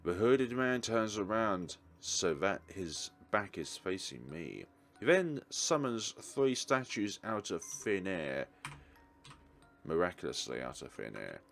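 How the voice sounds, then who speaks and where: A man's voice: sits low, big pitch swings, slow, vocal fry, fairly bright tone, soft background music. A man reading visual novel narration aloud as a let's player, theatrical with varied emphasis and occasional stumbles. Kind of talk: dramatic reading of game narration.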